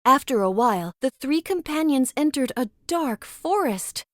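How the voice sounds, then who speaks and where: Female storybook narrator: higher register, very lively intonation, dramatic read-aloud delivery, dry studio-like sound. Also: normal speed, dry recording.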